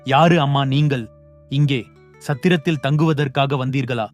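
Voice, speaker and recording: A man's voice: medium pitch, fairly even intonation, normal speed, faint music behind, lightly acted. A man voicing a kindly innkeeper in a children's cartoon, speaking politely and reassuringly. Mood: polite, helpful. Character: kindly adult male innkeeper, helpful. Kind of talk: cartoon voice acting.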